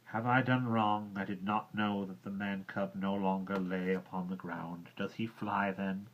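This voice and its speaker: Brief: wise teasing panther, man reading, low voice, slow drawl, muffled lo-fi sound. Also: level tone, a little hiss or hum. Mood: sly drawl. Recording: dull lo-fi recording.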